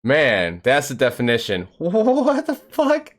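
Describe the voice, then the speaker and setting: A woman hosting a casual interview, laughing loudly and swearing in surprise. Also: rumbling low, huge pitch swings, takes it slowly. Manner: loud laughing swings, swears. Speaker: podcast host.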